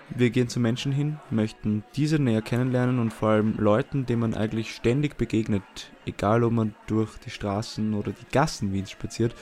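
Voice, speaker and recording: A man's voice: medium pitch, a little mellow. A man from Vienna with a Viennese accent, talking casually as a podcast co-host. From Vienna, Austria.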